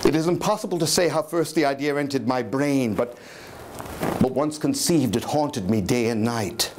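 A man's voice: medium pitch, normal speed, close to an everyday voice. A man playing a nervous, obsessive madman in a theatrical Gothic reading, agitated and stammering as he insists he is sane. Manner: agitated, stammering, repeating words. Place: small dim room in a historic house with seated audience. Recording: noisy live room recording.

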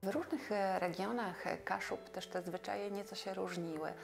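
A woman's voice: medium pitch, moderate pace, gravelly. A woman in her forties from Poland, talking in an explanatory documentary interview as a folk customs expert. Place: furnished indoor room. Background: music.

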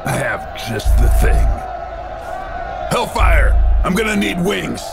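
An actor playing a cocky soldier hero of a video game who kills monsters and delivers wry, punchy one-liners. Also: male voice, sits high, big pitch swings, takes it slowly, vocal fry, shouting, loud music behind the voice, over a noisy crowd, heavily acted. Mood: defiant.

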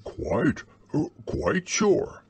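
A man voicing a slow, simple bear who loves food, speaking in a drawn-out, pondering way. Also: on the high side, huge pitch swings, a little mellow, slightly rough, pitched above the performer's own voice, full character voice. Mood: puzzled.

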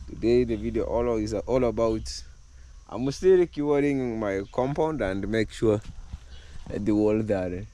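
A middle-aged man from Kenya with a Kenyan accent, hoarse from a cold, chatting to his camera while he works outdoors in his village. He repeats words and jumps up in pitch when he stresses a point. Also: takes it slowly, warm tone. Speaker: vlogger. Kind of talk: casual vlog to camera. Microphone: camera held at arm's length.

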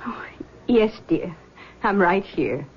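A woman's voice: medium pitch, expressive, slow pace, a little hiss or hum. An actress playing a possessive, manipulative middle-aged mother in a 1970s radio drama, soothing and controlling. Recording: dull lo-fi old radio broadcast.

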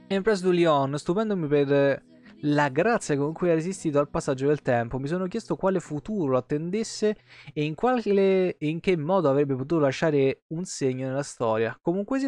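A man, livestreaming as he reads game lore aloud expressively, with offhand asides and self-corrections. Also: fairly high pitch. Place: indoor room, webcam livestream. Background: soft guitar game music.

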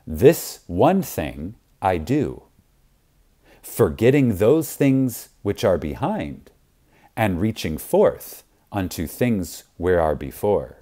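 A man reads an audiobook in a low, mellow voice with widely varied intonation. His delivery is reverent and emphatic. He leaves deliberate pauses for effect. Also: big pitch swings. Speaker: audiobook narrator. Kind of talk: scripted audiobook narration.

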